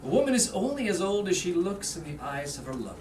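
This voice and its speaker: A woman's voice: fairly low pitch, very animated, quick pace, a little mellow. A woman playing a devoted husband home from war in staged reader's theater, earnest and romantic. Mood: adoring. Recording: roomy, distant mic, faint noise.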